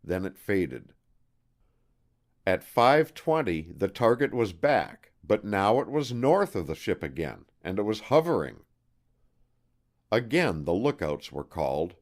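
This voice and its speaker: A man with a very low voice reads scripted non-fiction as an audiobook narrator. His intonation moves widely up and down. He phrases things deliberately and leans firmly on key words. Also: very animated.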